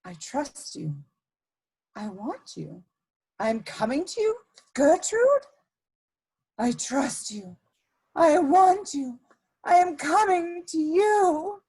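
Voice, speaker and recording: A woman playing a sly, prying society schemer in a theatrical period comedy reading, musing deliberately to herself. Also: high voice, sing-song, a little mellow, heavily acted. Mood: mocking, reading aloud.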